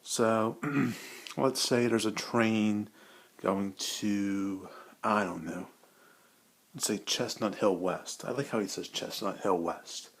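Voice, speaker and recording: A man's voice: on the low side, slow pace. A man rambling casually and enthusiastically, with a hesitant, repetitive way of talking, like a hobby vlogger.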